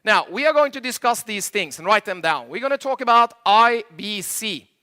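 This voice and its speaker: A man's voice: high-pitched, expressive, moderate pace, bright, forceful tone. Norwegian man joking with a conference crowd. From Norway. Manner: jokey, quizzes the audience, slightly imperfect English. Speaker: conference speaker. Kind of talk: stage presentation with audience banter. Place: conference stage before a live audience.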